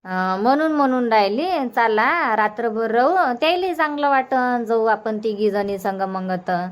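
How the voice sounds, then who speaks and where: A woman's voice: a little high, expressive, measured pace. A woman voicing a chatty, practical village mother in a cartoon comedy, speaking with a Varhadi Marathi accent in a homely, repetitive way. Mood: agreeable, planning. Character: chatty, practical village mother, middle-aged or older, organising an outing.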